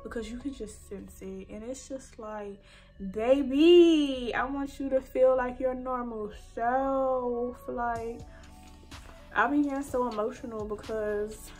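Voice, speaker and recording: Stuffy-nosed young woman vlogging from her bedroom, kinda high voice, chatty and heartfelt, faint music under it. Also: slow pace, darkish tone. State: stuffy nose from allergies. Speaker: beauty vlogger. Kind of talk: casual chat while doing makeup. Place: bedroom, indoors.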